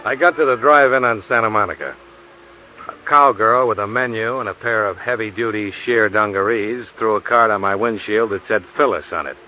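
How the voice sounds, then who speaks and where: An actor playing a tough, sardonic insurance investigator in a clipped 1950s radio noir style. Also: male voice, fairly low pitch, normal speed, natural voice. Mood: wry narration. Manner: clipped, sardonic, hard-boiled. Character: tough, wry male insurance investigator, hard-boiled narrator. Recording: muffled, noisy old radio recording.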